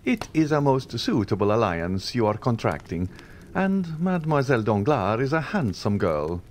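A man voicing a suave nobleman who speaks in a smooth, half-jesting, courteous tone. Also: medium pitch, big pitch swings, normal speed, a little hiss or hum, pitched above the performer's own voice, close to an everyday voice. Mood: courteous, ironic. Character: suave, coolly ironic older nobleman, male.

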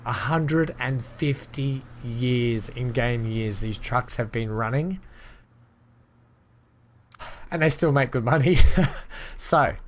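A slightly hoarse man chatting casually as he plays and narrates a transport-building game, thinking aloud with upbeat asides. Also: mid-range voice, a little hiss or hum. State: slightly hoarse, losing his voice. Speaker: gaming channel host. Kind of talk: casual let's-play commentary.

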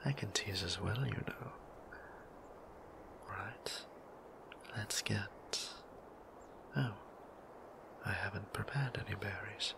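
A man voicing a soft-spoken vampire priest. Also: on the low side, takes it slowly, vocal fry, pauses often, noisy background. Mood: gentle. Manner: soft whisper, stammering when nervous. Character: gentle, flustered male vampire priest. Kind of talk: ASMR audio roleplay.

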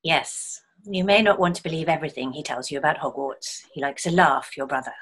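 An actress playing a reassuring, gently wry mother at a stage play table read. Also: low-pitched, brisk, breathy edge.

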